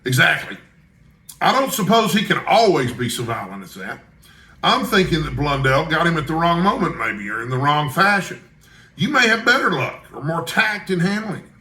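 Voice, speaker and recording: Man voicing a wry, kindly news editor, bright. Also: on the high side, very animated, normal speed, roomy sound. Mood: encouraging. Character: kindly, shrewd older Scottish newspaper news editor.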